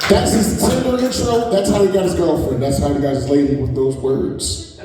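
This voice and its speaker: A man's voice: a little high, steady tone, normal speed, noisy background. A man in his forties doing a crude, brash stand-up set with the crowd. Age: 40s. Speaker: stand-up comedian. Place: comedy venue stage. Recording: echoey hall, mic off at a distance, loud crowd. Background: audience laughter.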